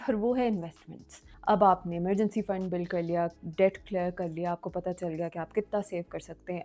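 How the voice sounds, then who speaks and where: A woman's voice: lowish voice, mellow. A young Pakistani woman with a Pakistani Urdu accent, explaining personal finance in a casual style and slipping into English phrases with frequent "right?" checks.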